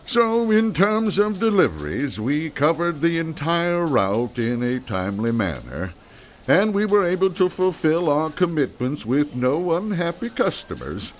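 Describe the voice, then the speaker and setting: Man playing a slow, wildly swooping Santa. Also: on the high side, big pitch swings, voice pushed up. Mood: businesslike, weary. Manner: halting, dramatic. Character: earnest, emotional Santa, bewildered new father.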